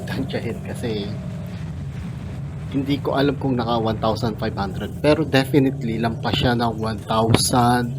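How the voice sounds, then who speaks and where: A male vlogger gives an off-the-cuff gear review at a middling pitch. He talks casually and haltingly, often repeating a word before he finds the next. Clear vehicle noise runs behind his voice. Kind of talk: casual unscripted product review.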